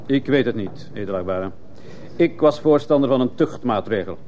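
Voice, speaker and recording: A man as a stiff, mellow-toned sergeant witness. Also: medium pitch, expressive, normal speed, lightly acted. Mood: stiff, disapproving. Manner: formal, deferential, stiff. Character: dutiful, disapproving army sergeant testifying in court. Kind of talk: radio drama acting. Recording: muffled, hissy old radio play recording.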